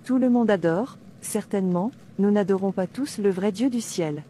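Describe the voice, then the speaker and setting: A woman's voice: mid-range voice, moderate pace, warm tone, a little hiss or hum, natural voice. A woman playing a devout, defiant princess in a dubbed cartoon, declaiming earnestly like a preacher. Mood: earnest preaching. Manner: declamatory, earnest, citing scripture. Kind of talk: sermon-like dubbed cartoon dialogue.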